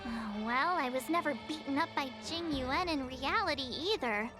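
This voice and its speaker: Very high, bubbly teenage girl played by a woman. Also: normal speed, natural voice. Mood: chatty, teasing. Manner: bubbly, exclamatory, dramatic. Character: perky, expressive teenage girl adventurer. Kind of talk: animated game voice acting. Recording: loud game music behind.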